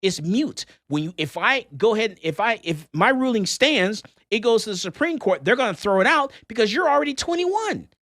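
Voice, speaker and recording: A middle-aged man hosts a talk show and comments on the news. His pitch swings widely, and he drives his points home by repeating them. The sound is close and dry. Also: normal speed, hardly any pauses, no room sound. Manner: animated, emphatic, repeats points.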